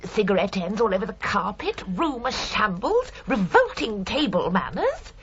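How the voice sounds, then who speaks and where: A woman's voice: medium pitch, very animated, normal speed, natural voice. Woman acting a prim, swooping, murderous British landlady. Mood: musing, fussy. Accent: British English. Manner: prim, indignant, chillingly matter-of-fact. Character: fussy, house-proud murderous landlady, obsessed with rules and tidiness. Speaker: actor in a radio crime drama. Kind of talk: British radio drama acting. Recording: dull radio recording with faint hiss.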